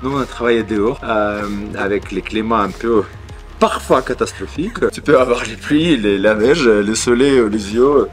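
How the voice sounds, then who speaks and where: A man's voice: medium pitch, moderate pace, soft background music. A man speaking as an artistic director in an interview, hesitant, with many fillers and restarts. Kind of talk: interview answers.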